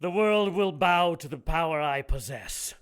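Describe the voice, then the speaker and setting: An actor playing a gloating, condescending villain bishop in an audio drama, with a pompous, taunting delivery. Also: male voice, on the high side, lively intonation, measured pace, clean tone. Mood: gloating, triumphant. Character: gloating male villain bishop plotting apocalyptic sacrifice.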